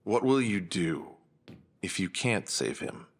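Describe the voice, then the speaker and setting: A man acting a cold, commanding crime boss in an audio drama, measured and imperious. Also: low voice, big pitch swings, husky, full character voice. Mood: probing, ominous. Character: cold, commanding male former syndicate boss.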